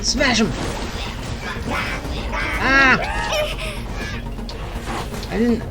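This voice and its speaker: A woman's voice: a little high, very slow. A woman giving casual gameplay commentary, reacting in short, exclamatory bursts. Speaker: gaming streamer playing through a story quest. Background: game music.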